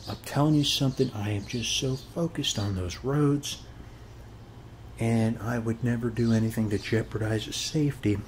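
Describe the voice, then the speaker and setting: A man's voice: mid-range voice, darkish tone. Rambling American man, friendly neighbor, noisy background. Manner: folksy, rambling, frequently checks in with "okay?". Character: chatty, boastful suburban neighbor guy. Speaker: ASMR performer playing a friendly neighbor. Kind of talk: ASMR roleplay, casual rambling monologue.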